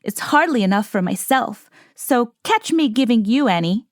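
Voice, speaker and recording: A woman's voice: on the high side, animated, normal speed, dry recording, clean studio sound, close to an everyday voice. A woman voicing a rude, greedy, spoiled girl in a storybook, haughty and curt. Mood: rude, defiant.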